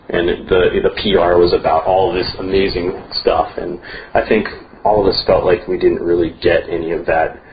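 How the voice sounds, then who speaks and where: A man in casual interview conversation, a theater festival director talking in a relaxed, rambling way with many fillers. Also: sits low, highs cut off, a little hiss or hum. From United States.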